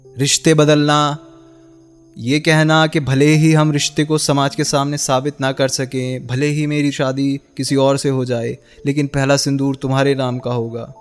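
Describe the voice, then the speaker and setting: A man's voice: medium pitch, flat delivery, unhurried, smooth, soft background music, natural voice. An actor playing a bitter, heartbroken young man in a radio drama, sarcastic and accusing as he confronts the woman he loved. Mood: bitter, wounded. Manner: sarcastic, accusing, with pointed rhetorical questions. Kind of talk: Hindi radio play acting.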